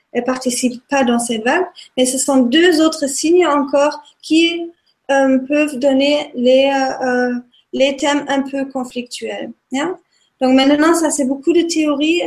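A woman with a German accent, a German native speaker, slowly explaining a topic on a livestream and often hesitating with fillers. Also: high voice, darkish tone. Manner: hesitant, many fillers and restarts. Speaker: Maya calendar guest speaker.

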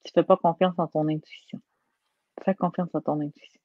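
A woman's voice: low-pitched, level tone, brisk, dry recording. Québécois woman guest, earnest and emphatic, dry dull lo-fi line. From Quebec. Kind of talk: conversational interview.

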